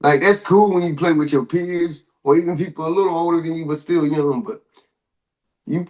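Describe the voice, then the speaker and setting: Male podcast co-host, fairly high-pitched, loose slangy delivery, casual banter with exaggerated asides. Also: fairly even intonation, moderate pace, a little mellow. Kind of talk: casual banter about hip-hop and pop culture news.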